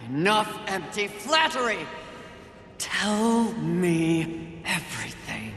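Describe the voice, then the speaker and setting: A woman's voice: fairly low pitch, sing-song, takes it slowly, a bit bright, soft background music, exaggerated voice. A woman playing a stern, proud warrior horseman in a video game, cold and commanding. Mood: demanding, angry. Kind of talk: video game heroine acting. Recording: echoey hall, faint noise behind.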